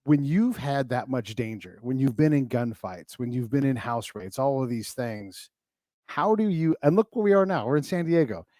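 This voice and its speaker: Man, interview host, relaxed warm voice, animated questions, dry close sound. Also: medium pitch, moderate pace, no echo. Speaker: podcast host. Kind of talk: interview conversation.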